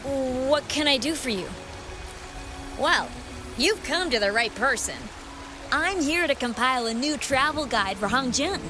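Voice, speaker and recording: An eager young townswoman in a game, speaks in a helpful, admiring way. Her voice is high and lively, with wide swings in pitch. She takes her time over each line. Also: slow, long pauses, over music. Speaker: travel guide writer townsperson. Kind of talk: game voice acting.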